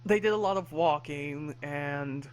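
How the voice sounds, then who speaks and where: A man's voice: on the high side, unhurried. A man co-hosting a podcast in casual chat, hesitant, full of fillers and self-corrections. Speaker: podcast co-host.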